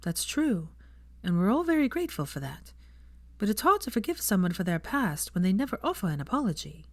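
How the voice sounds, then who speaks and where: A woman voicing a protective, frank young woman in a storybook reading, earnest and gently firm with a child. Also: medium pitch, sing-song, brisk, no room sound. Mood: frank conviction.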